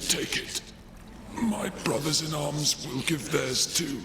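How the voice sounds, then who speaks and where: An actor playing a weary, despairing old soldier in a game cutscene, speaking in slow, halting, solemn, breathy phrases. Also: male voice, fairly high pitch, noisy background. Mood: solemn, urgent. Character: weary aged soldier, imprisoned thirty years, despairing. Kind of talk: dramatic video game cutscene acting. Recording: long reverb, loud music behind.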